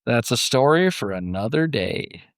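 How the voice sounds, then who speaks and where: A man's voice: medium pitch, lively intonation, moderate pace, dry recording, clean studio sound. A man hosting a podcast, moving between conversation and scripted story narration. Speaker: podcast host.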